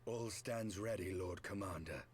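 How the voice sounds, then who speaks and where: An actor playing a grave, battle-worn fantasy knight in a video game, speaking in measured, formal archaic phrasing. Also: male voice, sits low, slight background noise. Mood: grave, reflective. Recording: game audio with music behind.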